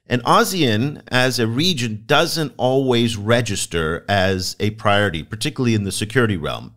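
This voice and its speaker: A man hosting a podcast on world affairs, introducing a guest with enthusiasm and asking interview questions. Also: lowish voice. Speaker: podcast host.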